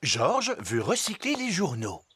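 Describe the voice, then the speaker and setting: A man's voice: fairly high pitch, huge pitch swings, a little slow, very noisy. A man narrating a children's cartoon from a script, as a storyteller. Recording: music behind the voice.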